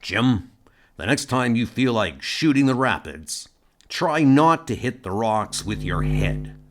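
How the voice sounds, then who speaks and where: A man's voice: mid-range voice, animated, slow pace. A man playing a crusty, exasperated middle-aged starship doctor in an amateur comic audio drama, grumbling with dry sarcasm. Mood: wry scolding. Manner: sardonic, grumbling, emphatic.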